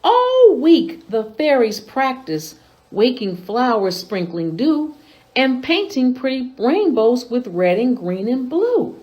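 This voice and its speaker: A woman reading a children's picture book aloud as a storytime host, with an expressive, sing-song rhyming delivery. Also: sits high, slow, dull audio. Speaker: library storytime reader.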